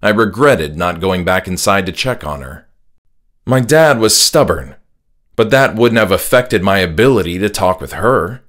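Low-pitched male horror audiobook narrator. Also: expressive, moderate pace, fairly bright tone. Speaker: author narrating his own book. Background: faint music.

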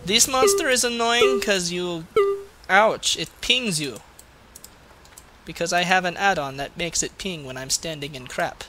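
A man's voice: high voice, measured pace, noisy background. A man putting on a Russian accent as a crude, boastful, grumbling gamer, giving comic gameplay commentary in broken English. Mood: annoyed. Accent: put-on Russian. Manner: crude, swearing, mock-menacing, broken English.

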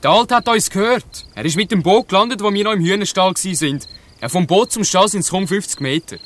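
A man's voice: high voice, a little fast, fairly bright tone. A man playing a curt, hostile gang boy in a Swiss German audio drama. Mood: grumbling. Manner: curt, challenging. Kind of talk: dialect audio drama acting.

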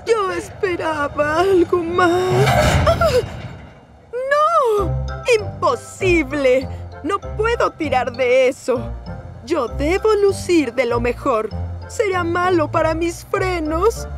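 Haughty cartoon railcar diva, Latin American, affected and theatrical, high and drawn-out, music behind. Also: female voice, expressive, heavily acted. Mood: indignant. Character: vain, haughty, fussy female diesel railcar Daisy. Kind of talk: children's cartoon dub.

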